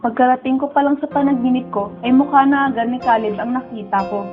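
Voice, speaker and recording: Radio drama lead: woman as lonely, dreamy young schoolgirl, earnest and emotional, higher voice, narrow telephone-like audio. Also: fairly even intonation, fast talker, natural voice. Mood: concerned. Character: distraught young female student, sensitive, lonely, dreamy. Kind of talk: emotional first-person radio drama narration. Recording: muffled phone-like sound with music underneath.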